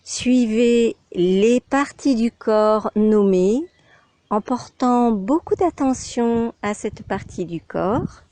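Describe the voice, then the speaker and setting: A woman leads a guided meditation as a yoga instructor, speaking extremely slowly in short instructions. Her voice is mellow and fairly high. The sound is very dry and close, with pauses between the phrases. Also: animated, no echo.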